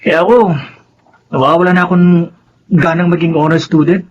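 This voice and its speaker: An actor playing a protective, resentful young student son with an aggrieved, confrontational manner in a Filipino radio drama. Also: male voice, a little high, steady tone, normal speed, dull audio, lightly acted. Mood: bitter.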